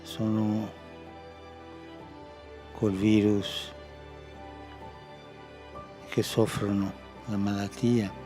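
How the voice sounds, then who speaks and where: A man giving a formal public address, speaking very slowly. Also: lowish voice, little pitch movement, music in the background.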